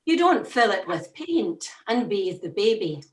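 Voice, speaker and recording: Woman, church reader, lively intonation, expressive, slow delivery, roomy sound. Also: medium pitch. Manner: expressive, reflective reading. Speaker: devotional reader. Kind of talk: scripted church reflection reading. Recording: roomy echo.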